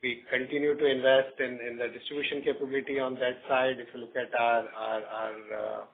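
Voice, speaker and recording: Male executive, Indian accent, halting earnings-call answers, narrow phone audio with some noise. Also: mid-range voice, little pitch movement. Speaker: company executive answering analyst questions. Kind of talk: earnings call answers, spontaneous corporate talk. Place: conference call over phone line. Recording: tinny phone line with background noise.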